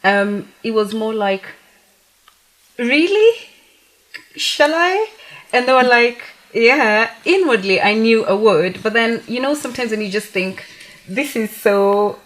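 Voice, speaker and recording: A woman's voice: on the high side, lively intonation, measured pace, a bit bright, rough recording. A young woman from Kenya chatting casually as an interview guest, telling anecdotes and acting out little quotes. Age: young adult. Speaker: interview guest, pageant queen. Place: TV talk show studio, indoors on a sofa.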